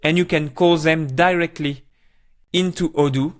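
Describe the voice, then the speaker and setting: A man presenting a software tutorial, explaining step by step in plain, short instructional phrases. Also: fairly high pitch, fairly even intonation, unhurried.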